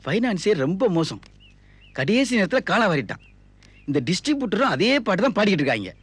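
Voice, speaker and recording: High, husky, ingratiating production manager. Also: male voice, very animated, quick pace, a bit bright, no echo, full character voice. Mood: pleading, persuasive. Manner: ingratiating, persuasive. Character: eager, ingratiating male film production manager. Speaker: actor in a TV serial.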